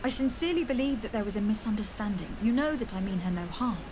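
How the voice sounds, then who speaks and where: A woman's voice: medium pitch, expressive, fast, noisy background, lightly acted. An actress playing a polite, determined woman adventurer in a video game, speaking in a formal, articulate way. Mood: earnest, pleading. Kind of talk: video game character dialogue.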